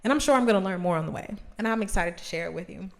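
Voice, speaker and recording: A woman's voice: medium pitch, expressive, racing, creaky. A woman of thirty talking casually to camera as a host, in an encouraging, emphatic and conversational way. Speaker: host of a journaling video series.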